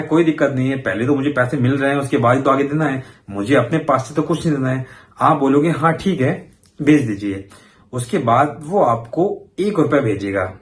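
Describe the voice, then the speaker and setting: A man hosting an informal explainer for viewers, talking conversationally and mixing in English words. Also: mid-range voice. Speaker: host of a banking awareness video channel.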